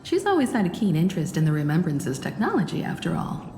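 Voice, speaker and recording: A polite, lively explorer. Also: female voice, fairly low pitch, quick pace. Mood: curious. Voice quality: polite, inquisitive. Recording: roomy reverb with game music.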